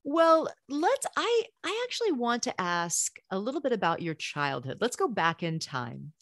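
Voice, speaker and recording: A woman's voice: on the high side, sing-song, normal speed, dry recording, studio quality. A woman hosting a podcast, encouraging her guest and asking questions in a casual interview. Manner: warm encouraging interviewer, frequent affirmations. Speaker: podcast host.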